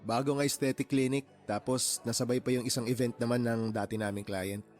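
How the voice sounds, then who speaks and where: An actor playing a brainy, introverted, considerate young professional in a Tagalog radio drama, earnest and measured, with a Filipino accent. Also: male voice, medium pitch, steady tone, a little mellow, faint music behind, lightly acted. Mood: friendly, explanatory.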